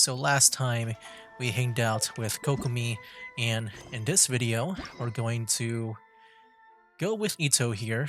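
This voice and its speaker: Male gaming streamer: medium pitch, casual playthrough commentary, game music softly behind.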